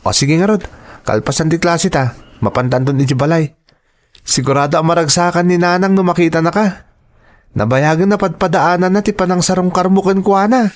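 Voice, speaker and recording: A man acts a friendly young student in an Ilocano audio drama. The voice sits high and carries an Ilocano Filipino accent. The character is caring and comes from a humble home. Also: fairly even intonation, a little fast, natural voice. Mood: friendly, playful.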